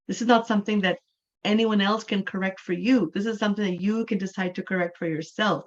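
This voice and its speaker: A woman in her forties from Canada teaching an English class online. She explains patiently, slowing down and repeating key words for emphasis. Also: mid-range voice, lo-fi sound.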